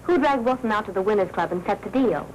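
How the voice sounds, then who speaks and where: An actress playing a brassy, defiant American gangster's scheming wife in a 1940s film noir. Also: female voice, mid-range voice, expressive, fast talker, a little mellow, slight background noise, lightly acted, low-quality recording. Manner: indignant, challenging. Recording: old 1940s film soundtrack.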